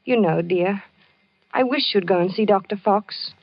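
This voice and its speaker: An actress playing a patient, worried wife who narrates in a 1940s radio drama, soothing and gently probing. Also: female voice, medium pitch, a little slow, no echo. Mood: coaxing. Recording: dull lo-fi old radio broadcast with music.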